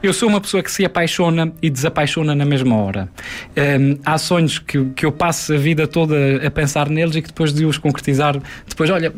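A man's voice: fairly high pitch, a little fast. A man in his twenties with a São Miguel Azorean accent, a TV presenter talking earnestly with many hesitations in a studio interview. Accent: São Miguel Azorean Portuguese. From Azores, Portugal. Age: 20s. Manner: earnest, reflective, with frequent hesitations. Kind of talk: casual interview chat.

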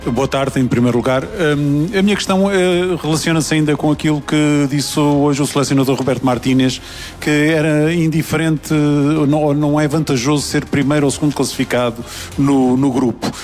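Formal reporter, slightly high voice. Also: male voice, steady tone, normal speed, a little mellow. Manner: formal, hesitant. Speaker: journalist. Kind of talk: press conference question.

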